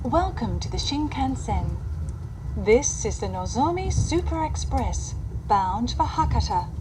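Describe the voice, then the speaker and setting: A woman's voice: lively intonation, a little slow. A woman giving a scripted train announcement, formal, courteous and carefully enunciated. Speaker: English-language train announcer. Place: played inside a moving train carriage. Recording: onboard train speaker with clear vehicle noise. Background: train rumble and cabin noise.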